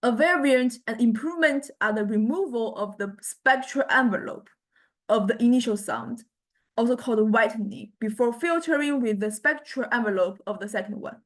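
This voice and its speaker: A woman lecturer with a slightly high voice explains material in an informal, unscripted way. She speaks haltingly, circling back for the right word and often checking that listeners follow. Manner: halting, searching for words, frequent checking "right?".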